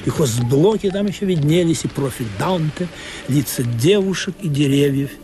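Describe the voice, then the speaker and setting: An actor playing a wistful, questioning sailor who tells of an absurd sea voyage in a deliberate, musing radio play manner. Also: male voice, fairly high pitch, expressive, background noise, lightly acted. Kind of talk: literary radio play narration and acting. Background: music under the voice.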